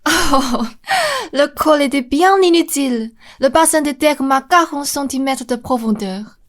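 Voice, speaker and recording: A woman voices a whiny young mama's boy in a radio comedy. His voice is very high, and his overall delivery is slow and complaining. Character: whiny young man, mother's obedient son. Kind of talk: student radio play acting.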